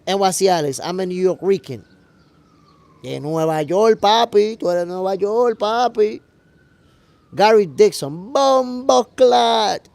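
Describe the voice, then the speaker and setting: An American man with a gravelly voice hosting a casual livestream, talking to viewers in an animated, slangy way. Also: high voice, a little slow, no echo. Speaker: host of a boxing show livestream, reading viewer comments. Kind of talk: casual livestream chat with audience.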